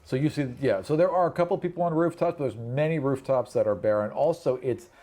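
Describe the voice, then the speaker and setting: A man's voice: medium pitch, quick pace, mellow. Man running a tabletop game. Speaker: game master. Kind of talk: improvised tabletop game narration and table talk.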